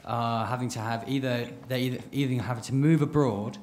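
A man's voice: medium pitch, steady tone, a little fast, a little mellow, faint music behind. A young male student in a lecture hall audience, asking a question into a handheld mic, hesitant and full of fillers. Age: young adult.

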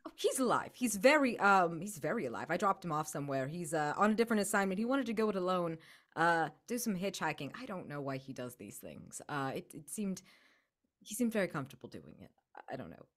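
Woman playing a glib, shifty fellow, very animated. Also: lowish voice. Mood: glib, evasive. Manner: evasive, over-polite, halting. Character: shifty, glib, chatty male drifter friend.

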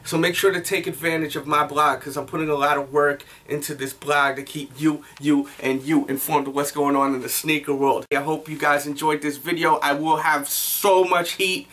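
A man's voice: a little high, steady tone, normal speed, hardly any pauses, a bit bright. A man in his thirties with an American accent hosting a casual sneaker review, using slangy hype-man patter and catchphrases, recorded indoors a few steps from the camera. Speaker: sneaker review show host. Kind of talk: casual vlog product review. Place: small indoor room.

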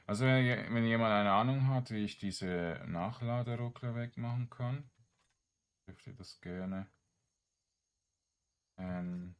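A man's voice: lowish voice, slow pace, long pauses. A man giving casual let's play commentary, muttering to himself in a hesitant way and trailing off. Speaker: gaming streamer narrating his own play.

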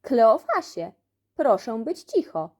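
A woman's voice: a little high, very animated, slow pace, darkish tone, heavily acted. A woman voicing a bossy, self-important schoolboy, the teacher's pet, in a children's storybook.

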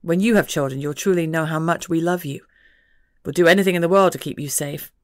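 A woman's voice: low voice, brisk, darkish tone, no echo, studio quality, lightly acted. A woman voicing a loving father who speaks firmly and earnestly to his daughter. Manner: firm, earnest. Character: firm, loving father, steady and calm.

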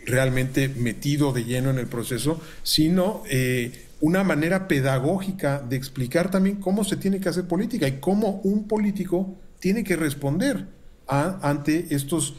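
A middle-aged Mexican man, a university researcher, giving measured, formal analysis from a room at home over a video call, with frequent filler pauses. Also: on the high side, a little mellow. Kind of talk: analytical commentary. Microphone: video call webcam.

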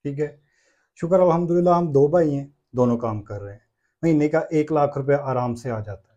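Mellow, fairly high-voiced Pakistani man. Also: a little fast, dull audio. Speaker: small business owner, interview guest. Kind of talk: interview answers. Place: small brick-walled workshop room indoors.

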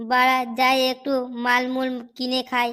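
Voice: high-pitched, monotone, slow pace, bright, forceful tone, clear, resonant tone, exaggerated voice. A performer voicing a sly, foul-mouthed drunken swindler in a comedy cartoon, crude and swaggering. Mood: gloating. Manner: crude, swaggering, full of swearing. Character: sly foul-mouthed drunkard conning neighbours for club money.

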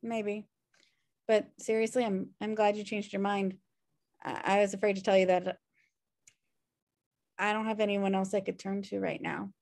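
A woman's voice: medium pitch, little pitch movement, moderate pace, creaky, long pauses, darkish tone, natural voice. An American woman reading the part of a flippant, irresponsible twin sister in a sitcom script. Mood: sheepish. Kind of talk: sitcom script table read. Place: indoor room, on a video call. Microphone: video call microphone.

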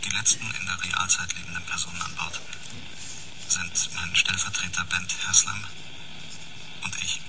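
An actor playing a grave, weary spaceship commander, solemnly recording a measured message in a sci-fi radio drama. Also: male voice, low-pitched, level tone. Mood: grave, resigned. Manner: measured, solemn log report. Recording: noisy, poor spaceship radio transmission.

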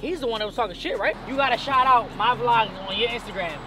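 A young man hosting a casual vlog, loud and teasing, often laughing, recorded outdoors and in a store on a handheld camera mic. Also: very high-pitched, quick pace, loud music behind the voice. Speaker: vlogger host. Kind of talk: casual joking vlog chat. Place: outdoors by a drive-thru and in a sports store.

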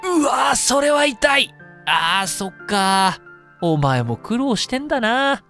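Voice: medium pitch, big pitch swings, pushed and bright, soft background music, heavily acted. A performer playing a cheeky, incredulous young man with slangy, exclamatory speech in a comedic voice drama. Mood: sympathetic. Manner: slangy, quick-reacting, exclamatory.

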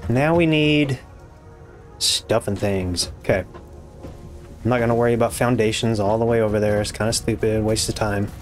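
A hoarse young man with a raspy voice, casually commenting on his game as he builds, muttering to himself and swearing when things go wrong. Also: lowish voice, moderate pace, faint music behind. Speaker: gaming YouTuber. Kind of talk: casual let's-play commentary.

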